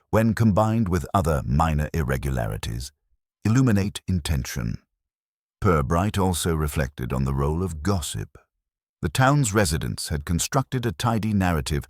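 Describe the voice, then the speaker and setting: A man with a very deep voice narrates a detective story in measured, formal phrasing. His pitch rises and falls in an animated way, and the recording is dry and close, free of room sound. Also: dry recording. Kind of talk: scripted mystery story narration.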